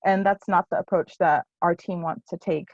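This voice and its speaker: A woman with a lowish voice presents her project and fields questions, pausing often on ums and restarting her sentences. The sound is dry and close, with the dull, muffled quality of a video call. She speaks in a casual, unpolished way. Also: steady tone, a little mellow, dry recording. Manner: hesitant, many ums and restarts. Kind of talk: casual presentation at a virtual symposium.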